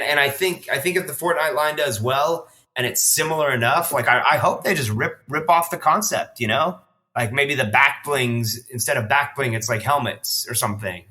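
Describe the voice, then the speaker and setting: A man's voice: medium pitch, bright, forceful tone. A man in a casual podcast chat, talking in a rambling, slangy, enthusiastic way as a collector guest.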